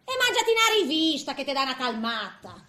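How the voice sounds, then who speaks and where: A woman's voice: sits high, big pitch swings, brisk, pushed and bright, reverberant, heavily acted. An actress playing a feisty, grumbling woman of eighty in a theatrical comic monologue, with a Tuscan accent and muttered, exclamatory asides. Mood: mocking, grumbling. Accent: Tuscan Italian. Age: older. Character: feisty, grumbling eighty-year-old woman in a nursing home.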